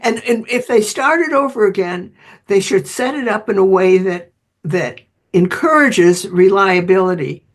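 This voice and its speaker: A woman's voice: mid-range voice, lively intonation, a little slow. Woman explaining as an interviewed expert. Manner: explanatory, acts out short imagined quotes. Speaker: interviewed author and electric grid expert. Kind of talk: conversational interview answers.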